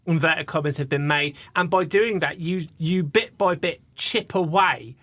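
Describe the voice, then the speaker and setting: A man's voice: fairly high pitch, measured pace, sharp tone. A man, an aviation journalist, giving thoughtful opinions on a podcast, often hedging with "I think". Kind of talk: casual opinion discussion.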